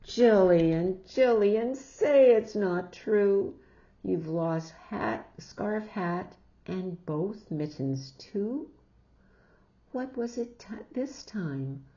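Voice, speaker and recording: A woman's voice: mid-range voice, expressive, very slow, dark tone, pitched above the performer's own voice. A woman voicing an alarmed, exasperated mother who scolds emphatically in a storybook reading. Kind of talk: storybook read-aloud character voice. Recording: dull lo-fi recording.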